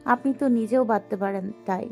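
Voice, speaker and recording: A woman playing a shy young wife and student in an audio love story, soft-spoken yet stubborn, with music underneath. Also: mid-range voice, brisk, gravelly, lightly acted.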